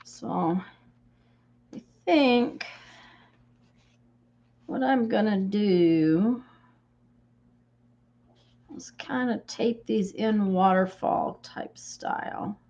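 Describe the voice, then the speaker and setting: A woman with a slightly croaky voice, worn by a summer cold, chats easily with viewers while she crafts, calling out their names between tasks. Her pitch sits in the middle of the range. The sound is a little dull and lo-fi. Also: very slow, long pauses, darkish tone. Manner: chatty, greets viewers by name while working. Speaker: craft livestream host. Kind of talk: casual chat while crafting.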